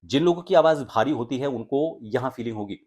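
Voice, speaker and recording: एक आदमी जो आवाज़ सुधारने का पाठ पढ़ाता है, हमिंग की आवाज़ें करके दिखाता है और हिंदी लहजे में बोलता है।. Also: male voice, mid-range voice, animated, brisk, a little mellow. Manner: explanatory, demonstrates humming sounds. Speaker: voice coach and anchor. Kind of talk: voice training lesson.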